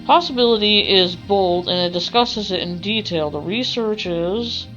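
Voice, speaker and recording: A woman reading a visual novel aloud as a let's play, with a halting delivery that corrects itself. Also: medium pitch, fairly bright tone. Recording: dull lo-fi mic with music behind. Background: game music.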